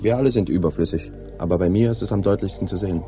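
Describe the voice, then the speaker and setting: A man's voice: low voice, racing, dark tone, soft background music, lightly acted. An actor playing a resigned, world-weary helmsman in a radio drama, terse and laconic. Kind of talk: German radio drama acting.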